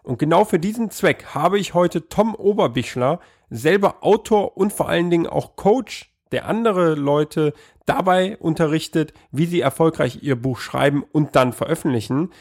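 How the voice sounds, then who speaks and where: A somewhat high-voiced man hosts a podcast while fighting a cold. His talk is upbeat and welcoming, and he asks his guest questions with small hesitations. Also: normal speed, hardly any pauses, a little mellow. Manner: upbeat, welcoming, hesitant with fillers when asking. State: has a cold. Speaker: podcast host. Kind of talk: podcast intro and interview.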